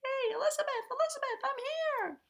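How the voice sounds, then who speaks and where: A woman's voice: extremely high pitch, normal speed, a bit bright, pitched above the performer's own voice. A woman voicing a fussy, snooty young prince in a storybook, whiny and disdainful in manner. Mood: pleading.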